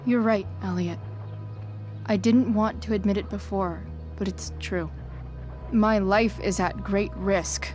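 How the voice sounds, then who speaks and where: A woman's voice: medium pitch, steady tone, slow, a little mellow, faint music behind, close to an everyday voice. A woman playing a guarded, sarcastic, rebellious teenage girl in a video game, hesitant and slangy. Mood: careful, placating. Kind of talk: naturalistic video game voice acting.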